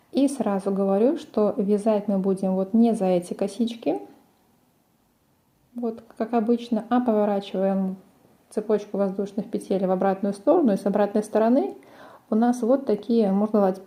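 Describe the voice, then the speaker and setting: A woman's voice: medium pitch, normal speed, warm tone. A woman presenting a crochet tutorial, explaining each step in a methodical way. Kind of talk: step-by-step craft instruction.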